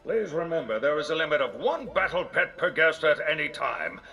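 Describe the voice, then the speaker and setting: An actor playing a noble paladin who hams it up as a theme park announcer in a video game, courtly and grandiose. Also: male voice, on the high side, sing-song, fairly quick, pushed and bright, faint music behind. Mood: grand, cautioning. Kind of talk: scripted game announcer voice lines.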